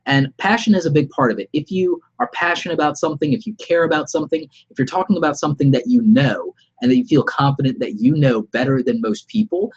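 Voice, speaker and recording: Brief: congested man with a cold, husky and nasal, chatty and encouraging, slightly muffled webcam live-stream sound. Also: mid-range voice. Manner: conversational, upbeat advice-giving. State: slightly sore throat. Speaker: vlogger. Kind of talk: unscripted live-stream vlog. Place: home studio room, alone. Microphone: webcam about arm's length away.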